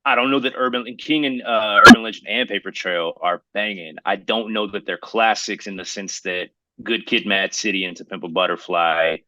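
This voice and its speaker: A man's voice: fairly low pitch, steady tone, normal speed, few pauses, pushed and bright, poor audio. An American man hosting a casual conversation, posing questions and arguing his points conversationally. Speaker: podcast host. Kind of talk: casual chat.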